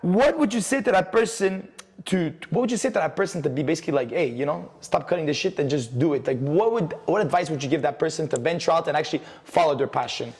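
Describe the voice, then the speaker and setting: A somewhat high male voice carries long reverb. He hosts in a loose, informal interview style, agreeing readily and repeating phrases back to his guest. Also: animated, fast talker, a little mellow, slightly rough. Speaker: podcast host. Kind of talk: casual chat interview. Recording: echoey hall-like reverb.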